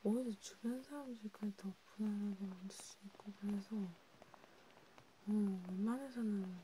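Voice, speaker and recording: A woman's voice: medium pitch, normal speed, rough recording. A woman whispering breathily and hesitantly, close to the mic, in a casual ASMR roleplay conversation. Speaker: ASMR performer. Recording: steady background hiss and noise.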